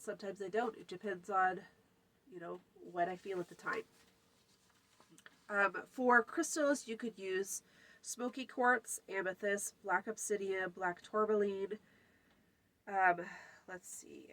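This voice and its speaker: A woman's voice: on the low side, a bit bright, low-quality recording. Stuffy-nosed woman chatting through a tutorial. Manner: chatty, many fillers, lists items. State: stuffy, runny nose. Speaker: folk magic channel host. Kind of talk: casual spoken tutorial.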